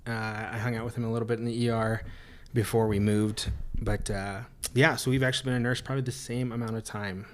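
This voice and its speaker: A man talking as a guest on a podcast in a relaxed way, with lots of "uh" and "um". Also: mid-range voice, quick pace, hardly any pauses, a little hiss or hum. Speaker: nurse guest. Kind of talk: casual interview talk.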